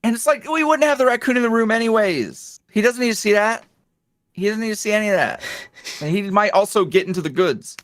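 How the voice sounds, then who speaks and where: A man speaking as a podcast guest in a casual interview, animated and jokey, with slangy, self-deprecating talk. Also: high voice, a little fast, no room sound. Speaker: podcast guest, audio roleplay creator.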